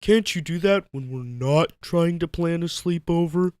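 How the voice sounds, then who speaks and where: A man's voice: fairly high pitch, measured pace, a little mellow, smooth, dry recording, pitched above the performer's own voice, natural voice. Cartoon starfish best friend played by a man: exasperated but caring, plaintive, voice pushed upward. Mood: annoyed. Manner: plaintive, reproachful. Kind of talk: dramatic fan fiction reading.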